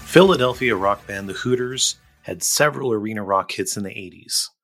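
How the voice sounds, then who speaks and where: A man's voice: fairly low pitch, a little fast, a bit bright. A man hosting a music podcast, introducing and interviewing guests. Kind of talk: scripted introduction and casual interviewing.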